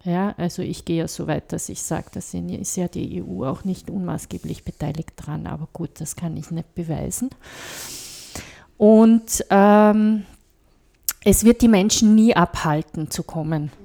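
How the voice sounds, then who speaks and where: A woman's voice: lowish voice, a little slow, vocal fry, mellow. A woman with an Austrian accent in a casual political discussion, critical and emphatic, often adding short tag questions. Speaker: podcast panelist.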